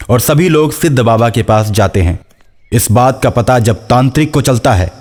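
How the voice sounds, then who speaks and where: A man's voice: medium pitch, darkish tone. A man narrating a scripted horror folk story as a storyteller. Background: faint music.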